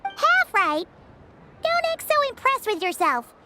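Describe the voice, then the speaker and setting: A woman voicing a tiny childlike fairy companion in a video game, squeaky, bossy and exclamatory. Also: big pitch swings, a little slow, very noisy, heavily acted. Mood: indignant. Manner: exclamatory, refers to self in third person. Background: loud game music.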